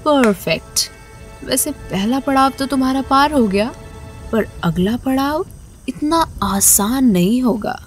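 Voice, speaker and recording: A woman playing a cryptic, commanding, mysterious female guide in a horror audio drama, measured and taunting. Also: high voice, lively intonation, soft background music. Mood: ominous, teasing.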